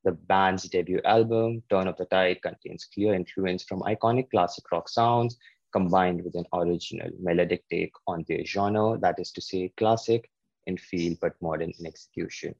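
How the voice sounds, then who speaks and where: A man with a very low voice hosts a relaxed podcast interview. He talks in a halting way and often restarts his words. The sound is dry and close, with no room around it. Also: vocal fry, dry recording, highs cut off. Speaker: podcast host. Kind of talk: casual interview.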